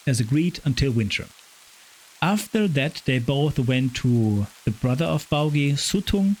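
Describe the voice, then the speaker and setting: A man with a raspy voice hosting a podcast, retelling myths in a chatty way, stumbling over hard names. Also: mid-range voice, darkish tone, rough recording. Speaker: podcast host. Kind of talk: casual storytelling of Norse myth.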